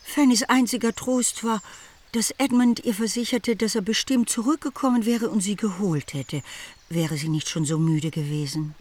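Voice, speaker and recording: A woman's voice: on the low side, darkish tone. Female narrator over music. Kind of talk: scripted literary narration.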